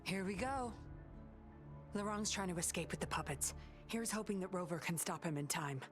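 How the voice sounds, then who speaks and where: Woman acting composed guardian, slightly low voice, coaxing, self-assured, cool edge, video game character, clear background music. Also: moderate pace, lightly acted. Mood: wry, tense.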